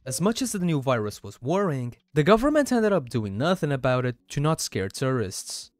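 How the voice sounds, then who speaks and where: A man's voice: medium pitch, big pitch swings, moderate pace, dry recording. Man narrating scripted horror countdown, dry sound. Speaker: video narrator and host. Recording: dry voice over background music. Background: music.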